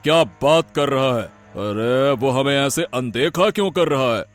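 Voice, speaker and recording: A man playing a rough, impatient bandit chief in an animated folk tale, gruff, rustic and blustering. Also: medium pitch, a little slow, soft background music, natural voice. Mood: impatient, demanding. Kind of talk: animated Hindi moral-story voice acting.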